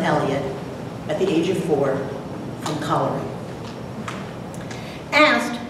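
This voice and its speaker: A mature woman giving a prepared talk and dramatic poetry recital with deliberate, theatrical delivery. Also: fairly low pitch. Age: middle-aged or older. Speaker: presenter, dramatic reader. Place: lecture hall, at a podium. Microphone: far camera. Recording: echoey noisy hall from far off.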